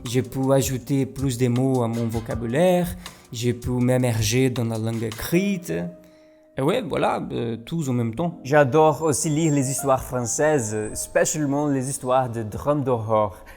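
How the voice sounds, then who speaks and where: A man narrates a vlog from a script. He speaks carefully and deliberately, like a learner. His voice sits at a middle pitch. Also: a little mellow, faint music behind. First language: Portuguese. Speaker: vlogger.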